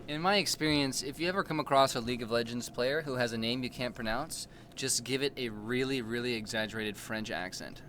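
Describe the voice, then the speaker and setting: A man's voice: mid-range voice, brisk, few pauses, a bit bright. A young man casting a video game tournament in a playful, joking way, hyping up the chat. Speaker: esports caster. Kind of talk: casual play-by-play commentary. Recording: faint background noise.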